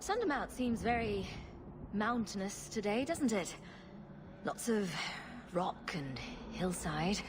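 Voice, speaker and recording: An actress playing a wry, sarcastic human adventurer in a video game, with a dry, self-assured way of talking. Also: female voice, medium pitch, big pitch swings, slow, background noise. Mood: dryly joking.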